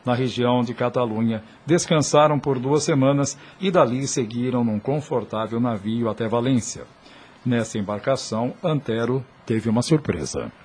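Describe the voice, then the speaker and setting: A man narrating a radio drama in a formal, solemn and measured storytelling style. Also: medium pitch, a little mellow, husky. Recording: radio production with music underneath. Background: background music.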